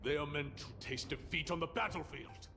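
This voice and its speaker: A man's voice: on the high side, brisk, sharp tone. A man voicing a ruthless, haughty warrior lord in a dramatic video game, speaking in formal archaic phrases with contempt. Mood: scornful, grim. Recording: long reverb, game music behind.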